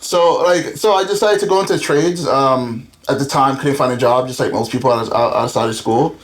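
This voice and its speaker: A man's voice: mid-range voice, moderate pace, poor audio. A man in his thirties who grew up in Florida, a guest telling his story in candid, rambling casual talk with many fillers. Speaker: guest entrepreneur. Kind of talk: casual interview chat.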